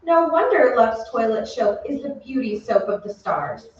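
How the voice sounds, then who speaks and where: An actress playing a chatty, gushing Hollywood beauty reporter in a 1940s-style radio commercial on stage. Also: female voice, a little high, animated, normal speed, natural voice. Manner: bright-worded, gossipy, scripted banter. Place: theatre stage, away from the mic. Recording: very echoey stage, distant, dull, faint noise.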